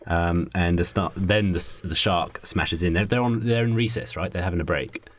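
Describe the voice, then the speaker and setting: A man's voice: sits low, normal speed. A man co-hosting a podcast, casually working through story ideas in conversation. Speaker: podcast co-host. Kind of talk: casual brainstorm chat.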